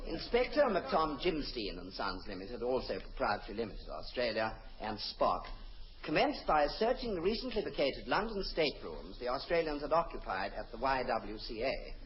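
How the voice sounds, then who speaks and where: An actor playing a solemn radio newsreader, formal and deadpan, in an old comedy broadcast. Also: male voice, medium pitch, normal speed, close to an everyday voice. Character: solemn radio newsreader giving absurd bulletins. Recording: muffled, noisy old radio recording.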